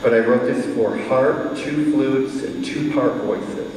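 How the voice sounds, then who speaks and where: A chatty man talks slowly and easily to an audience between his songs. He is recorded from far off in an echoing hall, with soft instrument music behind him. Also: mid-range voice, a little hiss or hum. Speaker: singer and composer. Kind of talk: live concert talk and singing. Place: performance hall. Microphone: room mic at a distance.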